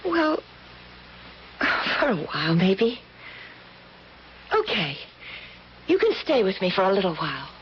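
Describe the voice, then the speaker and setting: An actress playing a kind, earnest, trusting young working woman in a 1970s radio drama, gentle and eager in manner. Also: mid-range voice, very animated, takes it slowly, long pauses. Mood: concerned, hesitant. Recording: muffled old radio broadcast with hiss.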